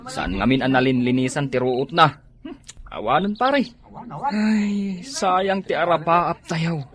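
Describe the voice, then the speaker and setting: A man's voice: fairly high pitch, lively intonation, measured pace, a crowd in the background. A man with a Filipino Ilocano accent playing a nagging yet idle village drinking buddy in an amateur comedy drama. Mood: dismayed.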